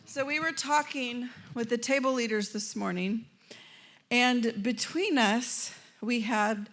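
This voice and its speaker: A woman's voice: a little high, fairly bright tone. A woman moderating a panel at a church women's event, chatty and casual, laughing often and trailing off with fillers. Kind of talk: casual hosting, friendly and talkative.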